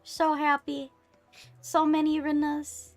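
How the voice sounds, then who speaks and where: A woman's voice: high voice, completely flat delivery, slow, soft background music. A woman chatting casually as a streamer during a game.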